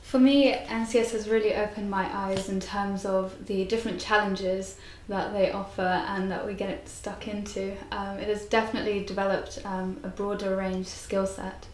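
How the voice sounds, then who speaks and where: A woman's voice: medium pitch, level tone, moderate pace, few pauses. A sixteen-year-old young woman, answering interview questions. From North London. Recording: echoey room, faint noise.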